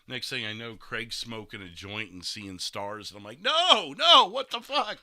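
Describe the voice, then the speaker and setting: Congested man with a very bright, forceful voice. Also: mid-range voice, sing-song, measured pace, no room sound, studio quality. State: congested, stuffy nose, breathing through mouth. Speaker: gaming streamer hosting a podcast. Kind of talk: casual chat.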